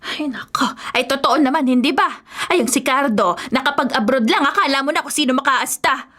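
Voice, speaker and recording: A woman's voice: high voice, brisk. A woman with a Filipino accent playing a bitter, ungrateful, nagging wife in a radio drama. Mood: bitter, scornful. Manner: nagging, loud griping. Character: bitter, ungrateful, sharp-tongued wife recovering from surgery.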